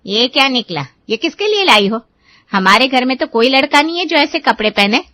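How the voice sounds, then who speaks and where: A woman voicing a stern, nagging Indian mother in an animated moral story. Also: high voice, brisk, no echo. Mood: indignant, scolding. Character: strict, scolding Indian mother of a grown daughter.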